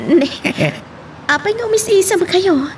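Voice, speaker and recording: A woman's voice: very high-pitched, expressive, moderate pace, darkish tone, slight background noise. A woman playing a grateful young daughter who is recovering, in an audio drama.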